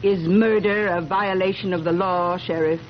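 An actress playing a determined, chatty middle-aged small-town busybody in a 1970s radio drama. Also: female voice, on the low side, steady tone, moderate pace, natural voice. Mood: insistent, suspicious. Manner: chatty, insistent. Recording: muffled, noisy vintage radio broadcast.

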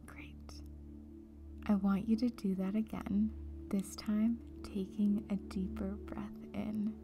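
A woman leading a guided meditation in a soft, breathy, near-whispered voice, calm and gentle, leaving long pauses. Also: medium pitch. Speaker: meditation guide, breakup coach. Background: music.

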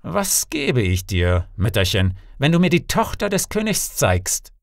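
A man voicing a scheming, menacing sorcerer in a fairy tale, wheedling and deliberate. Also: medium pitch, huge pitch swings, vocal fry, dry recording, clean studio sound, voice pushed up, full character voice. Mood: sly, coaxing. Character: scheming, menacing male sorcerer bargaining slyly.

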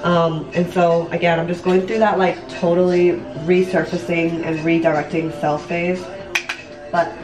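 A woman's voice: fairly low pitch, little pitch movement, measured pace, music in the background. A woman in her late twenties chatting candidly and casually to her viewers, rambling a little as she vlogs. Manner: candid, rambling, frequent "like" and "you know", some swearing. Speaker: vlogger and online business coach. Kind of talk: casual confessional chat to viewers while doing makeup. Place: bathroom at home. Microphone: camera a step or two away. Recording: echoey room, distant camera mic.

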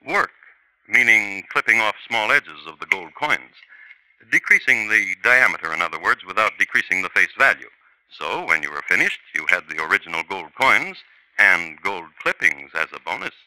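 A man's voice: low voice, sing-song, moderate pace, sharp tone, no echo, rough recording. A man narrating a 1950s radio crime drama in a dry, ironic storytelling manner. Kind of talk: wry scripted radio narration. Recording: vintage radio broadcast with music.

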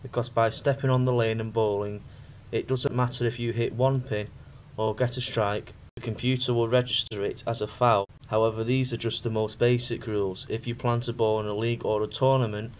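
A man's voice: medium pitch, little pitch movement, fairly quick. A young man reading scripted narration for a student documentary in a plain, instructional way. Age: young adult. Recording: dull lo-fi voice-over with faint noise.

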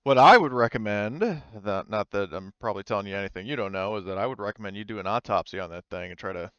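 A man acts out a female colony administrator in a sci-fi tabletop game. She is frank and concerned, with a low, smooth delivery. The sound is dry and a little dull. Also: fast, fairly bright tone, no room sound, close to an everyday voice. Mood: businesslike.

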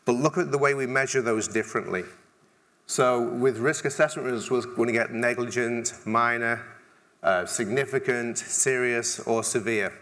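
A man's voice: mid-range voice, moderate pace, a bit bright. English man giving a conference keynote. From England. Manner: conversational, many restarts and fillers, dry humor. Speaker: conference speaker. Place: large conference stage.

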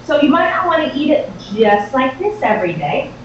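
High-voiced excitable woman, very echoey kitchen. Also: brisk. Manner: chatty, excitable, informal. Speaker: host of a live cooking show. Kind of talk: casual live cooking demonstration. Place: home-style kitchen, indoors. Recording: very echoey, distant, dull, faint noise.

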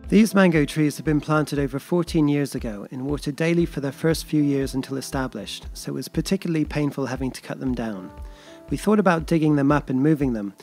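A man reading scripted voice-over narration in a steady, explanatory way. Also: fairly high pitch, brisk, few pauses, faint music behind.

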